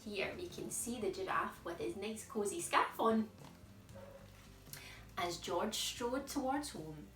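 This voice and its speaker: Slow, animated woman reading a children's story. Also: mid-range voice, soft background music. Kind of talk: animated picture-book narration for young children. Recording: echoey room, distant mic.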